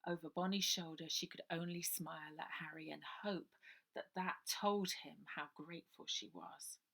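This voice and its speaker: Woman, slightly low pitch, bright forceful tone, dramatic children's audiobook narration. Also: steady tone, moderate pace, vocal fry. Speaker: author reading her own novel.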